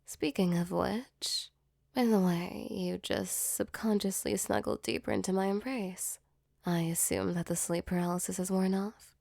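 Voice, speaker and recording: A woman voicing a gentle, protective female demon in a soft, soothing ASMR comfort roleplay. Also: medium pitch, steady tone, a little slow, vocal fry, no echo, clean studio sound. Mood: tender, teasing. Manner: soothing, tender, reassuring.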